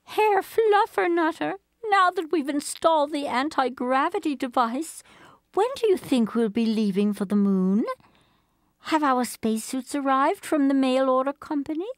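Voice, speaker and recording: A woman's voice: high voice, expressive, normal speed, dry recording, studio quality. A woman playing a fervent, prim, eccentric zealot in a comic radio play, earnest and melodramatic. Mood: eager. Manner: melodramatic, earnest, indignant declaiming. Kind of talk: comedic radio play acting.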